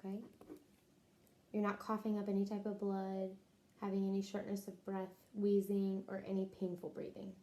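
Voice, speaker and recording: A woman taking a health history, working briskly through a checklist of short clinical questions. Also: medium pitch, monotone, mellow. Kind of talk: clinical question-and-answer interview.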